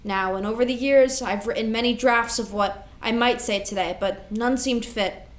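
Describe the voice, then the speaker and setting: Woman acting responsible grieving elder sister, composed, fairly high voice, stage drama reading. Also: fairly even intonation, moderate pace, lightly acted. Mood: solemn, measured.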